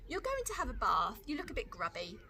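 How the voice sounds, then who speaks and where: A woman reads as a patient big brother in a children's storybook. He reasons and coaxes in a bright, swooping voice, with some noise in the background. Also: a little high, wildly swooping pitch, a little fast, heavily acted. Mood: patient, puzzled. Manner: coaxing, reasoning. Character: patient older brother coaxing little sister to bed.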